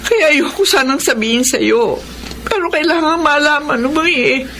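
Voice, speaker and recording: A woman's voice: high voice, moderate pace, a bit bright. An actress playing a weary, long-suffering, loving mother and wife in a radio drama. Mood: worried, apologetic. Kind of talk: Tagalog radio drama acting. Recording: noisy radio drama track.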